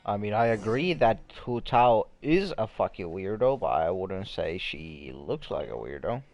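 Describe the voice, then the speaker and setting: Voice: sits low, big pitch swings, measured pace, darkish tone. A streamer giving casual, blunt, sweary live commentary on a game.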